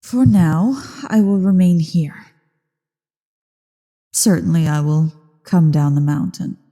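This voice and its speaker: A woman voicing an ancient evil female dragon in a fantasy ASMR roleplay, haughty, imperious and condescending, with deliberate phrasing and a breathy, gravelly, dark voice. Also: low-pitched. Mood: condescending, deliberating. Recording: long cavernous reverb.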